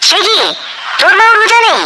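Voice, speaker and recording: A woman's voice: very high-pitched, animated, brisk, heavy background noise. A woman voicing a patient, pious father and husband in a cartoon, firm and moralizing. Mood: puzzled, curious.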